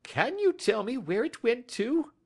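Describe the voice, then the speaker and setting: A man voicing a small, nervous, excitable male thief in an audiobook story, with a thin, cracking, deferential voice. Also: unhurried, creaky, slightly rough, heavily acted. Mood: desperate excitement. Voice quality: high, thin, quavering, cracking. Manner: agitated, deferential.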